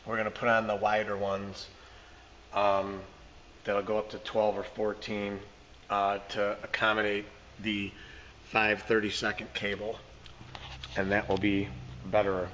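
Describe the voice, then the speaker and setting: Hoarse tradesman, low-pitched, folksy how-to talk, recorded on a phone in a parking garage with faint hum behind. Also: monotone, measured pace, long pauses, a bit bright, low-quality recording. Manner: casual, folksy explaining. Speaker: garage door technician. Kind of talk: hands-on work walkthrough. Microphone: handheld phone camera.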